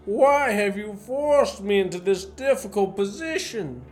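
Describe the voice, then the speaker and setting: Man playing a suave villain, slow and swooping. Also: high voice, expressive, faint music behind. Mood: smoothly menacing. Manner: courteous, genteel, theatrically menacing. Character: suave, menacing gentleman professor, a secret spy chief. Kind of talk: student radio play acting.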